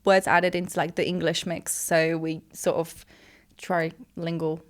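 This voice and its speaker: A young woman from Poland talks as a guest in a relaxed interview. Her voice sits a little low and sounds smooth and resonant. She rambles along with plenty of fillers. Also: measured pace. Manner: chatty, rambling, with frequent fillers and laughs. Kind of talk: casual interview chat.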